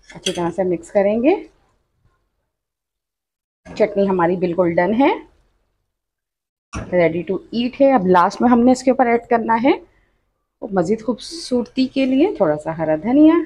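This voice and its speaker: A woman's voice: medium pitch, a little mellow, a little hiss or hum. A woman narrating a home cooking tutorial, chatty and instructive, often saying "achha" and "dekhiye". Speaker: cooking channel host. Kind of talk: step-by-step cooking tutorial voice-over. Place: home kitchen.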